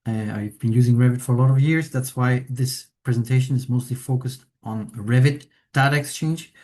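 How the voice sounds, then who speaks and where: Chilean-born man giving a software webinar. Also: mid-range voice, fairly even intonation, moderate pace. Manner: hesitant, many fillers, tag questions. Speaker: implementation consultant presenting a webinar. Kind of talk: presentation walkthrough.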